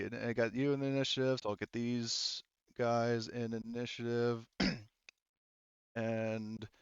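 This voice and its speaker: A man's voice: medium pitch, clean tone, no room sound, muffled recording. A man working as game master, narrating a tabletop game casually and informally, often thinking aloud.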